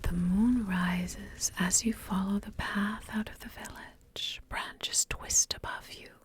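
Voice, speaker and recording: A woman narrating a fantasy tabletop game as game master in a soft, unhurried ASMR whisper. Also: mid-range voice, fairly even intonation.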